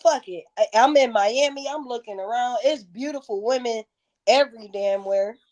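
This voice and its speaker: A woman's voice: on the high side, normal speed, darkish tone, highs cut off. A woman in her thirties telling stories candidly in a casual interview, with slangy, profane, emphatic phrasing. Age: 30s. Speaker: interview guest, music performer.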